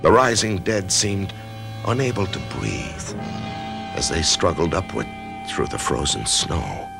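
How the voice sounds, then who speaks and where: A troubled, death-haunted office man tells his tale in a radio drama. His voice is extremely deep and gravelly, yet it rises and falls a lot. A music score plays behind him. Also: big pitch swings, a little slow, full character voice. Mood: brooding. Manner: hesitant, brooding, stammering. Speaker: narrator-protagonist. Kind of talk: 1990s radio drama, first-person narration.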